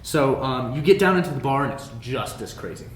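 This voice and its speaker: A man narrating a tabletop fantasy game as game master, improvising in a halting way and repeating phrases. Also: animated. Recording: roomy echo, faint background noise, rumble.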